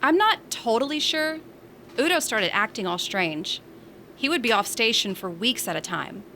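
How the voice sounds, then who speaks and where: Woman playing a bright, sassy space station local. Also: fairly high pitch, animated, normal speed, a little hiss or hum. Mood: chatty, teasing. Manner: bantering, sassy. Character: teasing, feisty woman living on space station. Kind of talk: science fiction audio drama dialogue.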